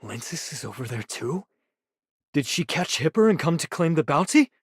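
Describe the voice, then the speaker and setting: A man's voice: fairly high pitch, big pitch swings, moderate pace, husky, no echo, clean studio sound. A man voicing a loyal, earnest, hot-headed young male pirate in scripted anime game dialogue. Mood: surprised.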